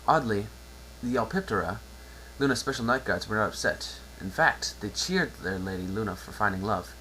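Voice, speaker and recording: A man's voice: on the low side, expressive, moderate pace, bright, forceful tone, poor audio. A man narrating a story aloud as a dramatic amateur reading. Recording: faint background noise and hum.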